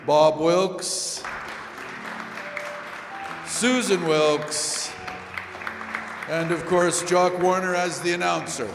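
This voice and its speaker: A man's voice: high-pitched, extremely slow, pauses often, crowd noise. A man announcing the credits of a live radio drama in old-time announcer style. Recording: echoey hall, music and audience.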